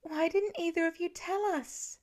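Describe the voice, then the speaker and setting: Woman voicing an indignant teenage girl, pitched up high. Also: steady tone, measured pace. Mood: betrayed. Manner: accusing, indignant questioning. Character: angry, suspicious teenage daughter demanding answers. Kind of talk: acted audiobook dialogue.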